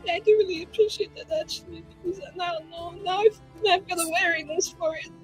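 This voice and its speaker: A woman playing in character at a tabletop roleplaying session, talking in an emotive, halting, casual way with some swearing, over background music. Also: extremely high pitch, fairly even intonation, low-quality recording. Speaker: tabletop RPG player. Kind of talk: in-character improvised dialogue at actual-play game. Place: online streamed game session.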